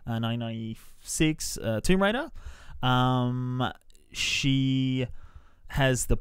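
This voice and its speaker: A man hosting a podcast, explaining things in a halting, informal way as part of a casual film review chat. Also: medium pitch, clean tone, dry recording, clean studio sound.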